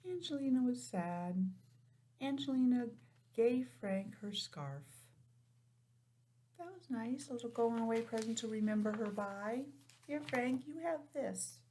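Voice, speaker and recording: A woman's voice: on the high side, unhurried. Woman reading to children, noisy recording. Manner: animated, simple explaining to young children. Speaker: teacher reading aloud to children. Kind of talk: storybook read-aloud with chatty asides.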